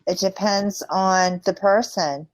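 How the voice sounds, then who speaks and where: A woman's voice: mid-range voice, steady tone, slow. A woman from the United States working as an English conversation tutor, speaking in simple short phrases and patiently repeating and explaining. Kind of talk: one-to-one conversation lesson.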